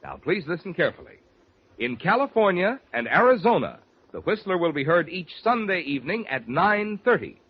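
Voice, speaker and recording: A man's voice: fairly high pitch, slightly rough. A man announcing in an emphatic, salesmanlike 1940s radio style. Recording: dull 1940s radio recording, music.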